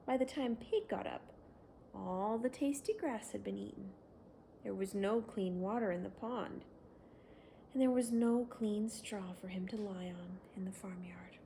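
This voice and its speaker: A woman reads a children's bedtime story slowly, in a fairly low voice for a woman. Her phrasing is gentle and expressive, made for young listeners. There is clear background noise on the recording. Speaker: children's story reader and host.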